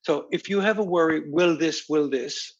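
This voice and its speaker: A man acting as a counselor, giving measured, instructive advice in plain sentences. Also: a little high, highs cut off. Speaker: counselor co-host.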